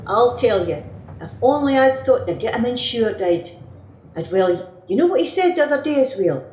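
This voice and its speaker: Gossipy, work-shy Scottish wife played by a woman, radio play, higher-pitched, complaining; noisy home recording. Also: sing-song, moderate pace, a little mellow, echoey room. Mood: indignant grumbling, then aggrieved, chatty.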